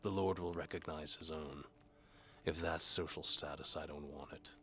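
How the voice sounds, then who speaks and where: A man's voice: extremely low pitch, steady tone, moderate pace, pushed and bright, full character voice. A man playing a cynical, sarcastic down-on-his-luck mercenary bowman who tells his own story in a wry, noir audio drama style. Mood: bitter, defiant. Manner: sardonic, wry. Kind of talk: first-person noir audio drama narration.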